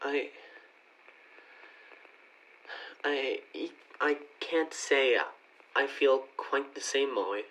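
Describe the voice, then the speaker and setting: Radio play boyfriend, woman actor: low voice, weary and withdrawn, guarded, stammering, naturalistic delivery, fed up with his relationship. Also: takes it slowly, fairly bright tone, heavily acted, rough recording. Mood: resigned.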